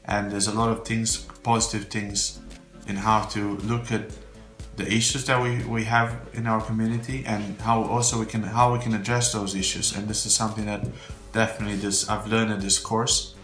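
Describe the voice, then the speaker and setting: A man's voice: fairly low pitch, level tone, moderate pace, fairly bright tone. A man from Romania, giving a heartfelt, faith-filled testimonial in slightly phrasing. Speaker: church member giving a testimonial. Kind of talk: earnest unscripted testimonial. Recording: roomy echo with faint background music.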